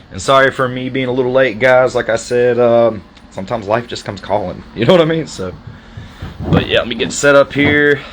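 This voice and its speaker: A man's voice: medium pitch, slight background noise. Folksy Southern man hosting a livestream. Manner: folksy and effusive, thanks guests at length. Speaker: interview host. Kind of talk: casual livestream interview.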